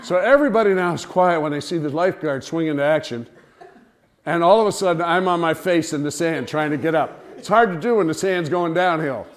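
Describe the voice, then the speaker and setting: A man telling a humorous personal anecdote to listeners in an animated, theatrical way. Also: fairly high pitch, a little fast. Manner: animated, theatrical storytelling with acted-out cries and dialogue. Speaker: storyteller, speaker to an audience.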